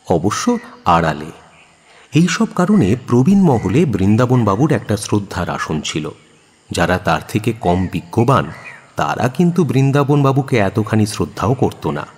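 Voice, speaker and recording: A man narrating a suspense story in a dramatic scripted style, with a husky voice. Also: fairly low pitch, a little mellow, long reverb tail.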